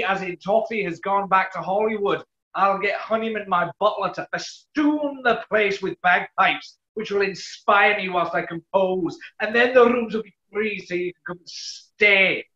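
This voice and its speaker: An actor playing a wealthy, breezy, imperious composer with a plummy, theatrical manner in a 1940s-style radio comedy. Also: male voice, sits high, measured pace, pushed and bright. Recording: echoey, dull room recording.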